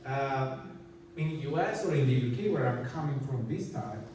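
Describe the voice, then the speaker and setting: A man's voice: mid-range voice, animated, moderate pace, darkish tone, a little hiss or hum. A man from Armenia, giving an earnest, explanatory conference talk with slides and many restarts. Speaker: conference speaker. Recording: echoey hall, distant mic.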